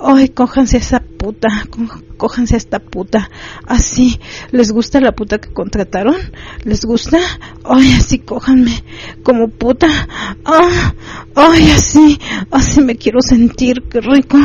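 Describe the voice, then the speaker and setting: A woman's voice: a little high, measured pace, darkish tone, pitched above the performer's own voice, natural voice. A woman voicing an uninhibited, demanding married woman in an adult audio story, urgent and full of moaning exclamations. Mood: loud, ecstatic. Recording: slightly dull, lo-fi recording.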